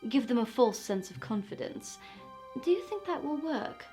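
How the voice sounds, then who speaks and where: A woman playing a mockingly polite smuggler boss villainess who taunts a captive with deliberate, condescending menace in a fantasy roleplay. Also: on the high side, sing-song, warm tone, soft background music. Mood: smug scheming. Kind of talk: point-of-view fantasy roleplay.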